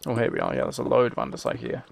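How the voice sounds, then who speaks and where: A man's voice: mid-range voice, wildly swooping pitch, very fast, gravelly, slight background noise. A British man streaming a game and chatting casually with viewers, musing aloud in an informal, rambling way. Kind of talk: casual chat while playing a game.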